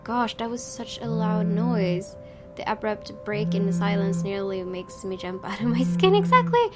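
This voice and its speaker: Female gaming YouTuber, casual and expressive read-aloud, clear game music underneath. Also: mid-range voice, normal speed. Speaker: gaming YouTuber reading a visual novel aloud. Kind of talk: casual let's-play commentary and read-aloud narration. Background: game music with guitar.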